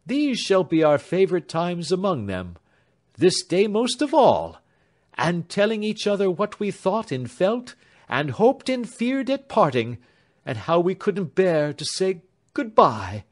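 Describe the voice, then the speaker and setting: A man's voice: high-pitched, big pitch swings, measured pace, voice pushed up, full character voice. A man reading an audiobook voices an earnest young man with a fervent, tender delivery. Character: earnest young man, loving, taking his leave.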